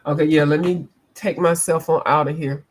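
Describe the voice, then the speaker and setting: A woman's voice: sits low, fairly even intonation, moderate pace. A woman from the eastern United States chatting casually with live viewers while she colors, reading out their comments and laughing often. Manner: laughs often, playful, drifts between accents. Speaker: coloring livestream host.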